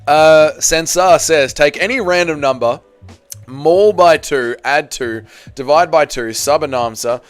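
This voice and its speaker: A man's voice: a little high, unhurried, soft background music. A man in his thirties hosting a casual livestream, talking in a blokey, crude, repetitive way to his viewers. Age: 30s. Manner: blokey, crude joking, repeats himself, addresses viewers as boys. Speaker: livestream host. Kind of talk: casual livestream chat about MMA, reading out viewer comments.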